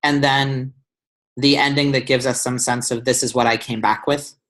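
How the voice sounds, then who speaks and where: A young man coaches a student through her essay on a video call. He talks at an ordinary middle pitch, pausing with ums and restarting sentences as he thinks. The sound comes through a webcam mic in a small room. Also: fairly even intonation, fairly bright tone. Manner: thoughtful, many ums and restarts. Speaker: writing tutor. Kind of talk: one-on-one essay feedback over video call.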